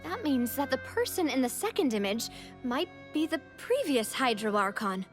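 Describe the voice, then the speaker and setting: A woman's voice: sits high, very animated, normal speed, soft background music. An actress playing a thoughtful young woman in video game dialogue, reasoning aloud in an earnest way.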